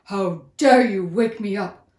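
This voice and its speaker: A woman doing a booming grumpy lion king. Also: slow, echoey room, close to an everyday voice. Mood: furious. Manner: loud, booming, scornful. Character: huge grumpy male lion, proud king of beasts.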